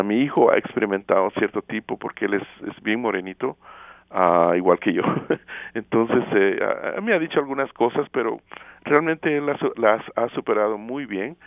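A middle-aged man from Guatemala, speaking thoughtfully as an interview guest with many fillers and pauses, heard over a phone line. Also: lowish voice, creaky, no room sound. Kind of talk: casual interview. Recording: tinny phone line, radio broadcast.

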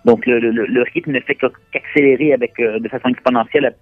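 A man's voice: mid-range voice, no echo, narrow, tinny audio, faint music behind. Québécois man in his 30s, interview guest, stumbles over fillers, dry close recording. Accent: Québécois French. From Quebec, Montreal. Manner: halting, many fillers and repeated words. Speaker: guest, Canadian expatriate. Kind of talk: conversational interview answers.

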